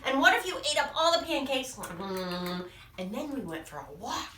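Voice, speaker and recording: A woman voicing a calm, comforting mother monster who answers her child in a soft, reassuring way. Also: high-pitched, distant mic, a little hiss or hum, full character voice. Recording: echoey room, voice off-mic.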